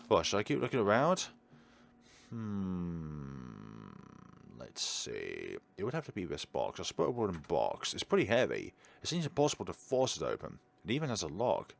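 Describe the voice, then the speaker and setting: A man's voice: on the low side, creaky. A man hosting a let's play, reading game text aloud dramatically and adding casual asides. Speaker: gaming video host.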